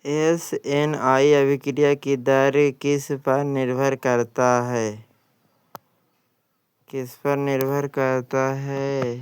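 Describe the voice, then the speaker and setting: A man teaching exam prep, reading out practice questions and deliberately repeating each answer. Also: mid-range voice, flat delivery, smooth, no echo.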